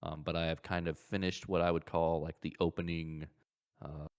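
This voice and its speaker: A man's voice: low-pitched, flat delivery, moderate pace, smooth. A man hosting a podcast, chatting casually about video games and often circling back to repeat his phrases. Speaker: podcast host.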